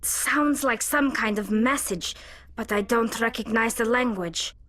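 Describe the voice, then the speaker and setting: A woman playing a wary, practical soldier squadmate in a sci-fi game, plain-spoken and brisk. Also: fairly high pitch, fairly bright tone.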